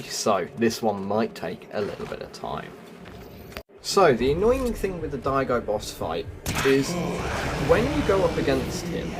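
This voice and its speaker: A man with an ordinary mid-pitched voice gives casual walkthrough commentary as a gaming host. He talks conversationally to viewers while he plays. Clear background noise from the game sits behind his voice.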